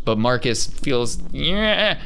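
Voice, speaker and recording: A man's voice: medium pitch, big pitch swings, slow pace, gravelly, bright, forceful tone, a little hiss or hum, poor audio. A man working as game master and podcast host, narrating a tabletop game in a conversational, descriptive way.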